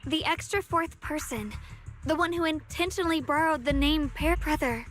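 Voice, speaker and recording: A woman's voice: sits high, normal speed, natural voice. A woman voicing an earnest young swordswoman, a younger sister, in a video game. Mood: resolute. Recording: game music behind the voice.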